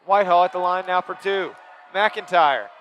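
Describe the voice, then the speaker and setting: A man calling high school basketball play by play, quick and excitable on big plays. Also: slight background noise, poor audio. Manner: rapid, excitable calls rising on big plays. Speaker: play-by-play announcer.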